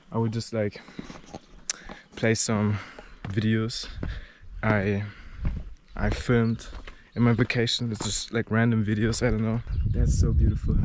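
A young man in his twenties with a German accent, talking casually and a bit out of breath to a handheld camera while climbing a mountain trail, rambling with lots of fillers and swearing. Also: on the low side. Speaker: travel vlogger. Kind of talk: casual vlog talk while hiking. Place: outdoors on a rocky mountain trail. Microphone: handheld camera at arm's length. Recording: outdoor camera audio with clear background noise.

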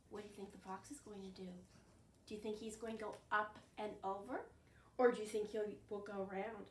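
An older woman hosting an interactive picture-book storytime for young children, expressive and full of questions to her listeners. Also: high-pitched. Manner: animated, questioning, exaggerated gasps and reactions. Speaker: children's librarian, storytime host. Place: living room at home, indoors. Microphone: camera a few feet away. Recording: roomy, distant from the microphone.

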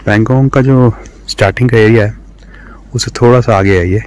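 A man's voice: mid-range voice, fairly even intonation, darkish tone, clean tone. A man with an Indian accent giving casual on-location vlog commentary outdoors while filming, mixing Hindi and English. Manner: slow, unhurried, casual remarks while filming. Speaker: travel vlogger. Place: outdoors at a high-altitude lake. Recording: dull lo-fi outdoor camera audio.

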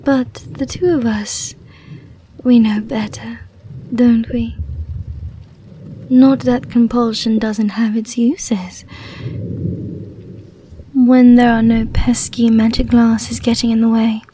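A woman playing a seductive, commanding vampire in an ASMR roleplay, speaking softly and breathily, often in a whisper, with a coaxing, deliberate, hypnotic manner. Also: fairly high pitch, little pitch movement, slight background noise. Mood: smug, seductive.

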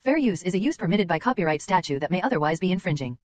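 Lady narrating a formal scripted notice, lowish voice, pretty fast. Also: animated, darkish tone, no echo.

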